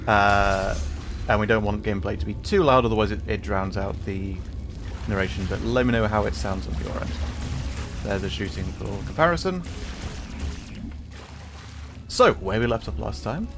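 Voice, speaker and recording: A man's voice: fairly low pitch, moderate pace, long pauses. A man hosting a gaming livestream, chatting casually in a wry, self-deprecating way. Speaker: livestream host, gamer. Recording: background music behind voice. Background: music.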